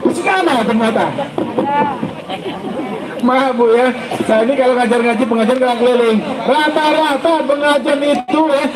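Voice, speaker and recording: High-voiced joking ustaz in echoey hall. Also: male voice, normal speed, a loud crowd. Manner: joking, quizzes the audience. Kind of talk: humorous sermon to school students. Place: school event hall. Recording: echoey hall, background noise and traffic.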